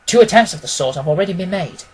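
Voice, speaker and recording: A self-assured gentleman detective, played by a man reading aloud, reasoning emphatically through each point. The voice is slightly rough and husky, with an analytical, decisive manner suited to a classic mystery audiobook. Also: high voice, animated, quick pace.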